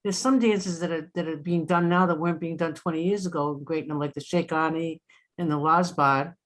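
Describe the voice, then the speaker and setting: A woman's voice: sits low, fairly even intonation, brisk. A woman musing conversationally as a participant in a casual discussion on a video call. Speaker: participant commenting.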